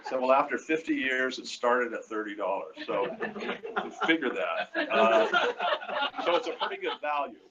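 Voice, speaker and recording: An older man with a deep voice telling rambling, halting anecdotes in a casual interview, often quoting conversations. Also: animated. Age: 60s or older. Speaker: artist being interviewed. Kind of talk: casual storytelling at a live event. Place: hotel event space. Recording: muffled lo-fi live stream, noisy room.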